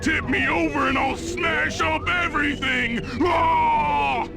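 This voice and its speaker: A man voicing a big, childish, raging hippo in a video game, babbling and shouting in an unhinged way. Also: very high voice, fairly even intonation, slow pace, gravelly, full character voice, rough recording. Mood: deranged rage. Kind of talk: video game cartoon voice acting. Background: music.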